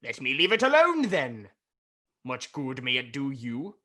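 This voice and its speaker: Bitter old male skinflint, played by an actor for radio drama; emphatic, contemptuous, widely varied pitch. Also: huge pitch swings, a little slow, sharp tone, exaggerated voice. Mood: irritated, ranting. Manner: scornful, emphatic, theatrical. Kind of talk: radio play acting.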